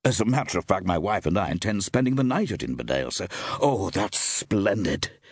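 An earnest, insistent young man, acted out by a male narrator. The voice is a little husky and rises and falls freely. He presses his point with urgency, in the style of an audiobook mystery. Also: low-pitched, big pitch swings, fairly quick, dry recording, studio quality, full character voice. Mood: hopeful, tense.

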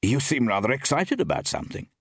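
A polite, easygoing gentleman traveller, played by a male narrator. His intonation moves about a good deal, with a slightly husky tone. The manner is measured and mildly amused, suited to a storybook mystery. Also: mid-range voice, big pitch swings, no room sound, studio quality, voice pushed up. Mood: helpful, curious.

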